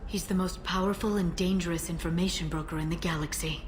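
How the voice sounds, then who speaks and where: An actress playing a composed, determined female information broker in a sci-fi video game, measured and earnest. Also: lowish voice, steady tone, close to an everyday voice. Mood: earnest, guarded. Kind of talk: scripted video game dialogue. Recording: noisy ambient background.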